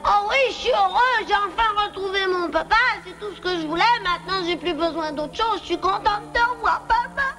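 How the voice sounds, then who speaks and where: A woman's voice: extremely high pitch, brisk, few pauses, music in the background. A woman voicing a naive, affectionate little boy bear cub in a French cartoon, childlike and earnest. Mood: pleading, affectionate. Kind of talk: cartoon dub acting.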